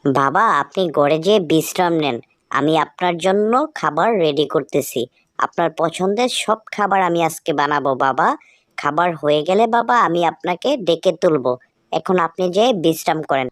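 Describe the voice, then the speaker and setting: A woman's voice: sits low, expressive, moderate pace, no room sound, clean studio sound. A woman playing a dutiful, reassuring village wife who is eager to please, in a Bangla cartoon. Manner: deferential, reassuring.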